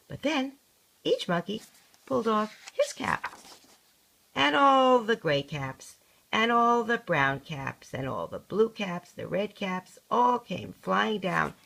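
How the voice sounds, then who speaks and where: Gravelly older woman reading a storybook. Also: medium pitch, fairly bright tone, low-quality recording. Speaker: storybook reader, grandmother. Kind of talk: expressive read-aloud children's story.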